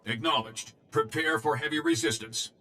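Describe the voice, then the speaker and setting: An actor voicing an emotionless warden security droid in a video game, speaking in terse, formal monotone phrases. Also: male voice, on the low side, normal speed, a little hiss or hum. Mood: flat, official.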